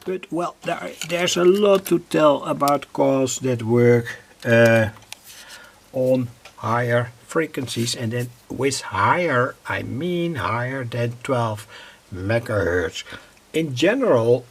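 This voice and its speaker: Man, slow halting delivery, gravelly low fry, hobbyist demonstration, faint hiss behind. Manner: slow and halting, many "uh" pauses. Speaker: radio hobbyist presenting his own channel. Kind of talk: unscripted hands-on demonstration.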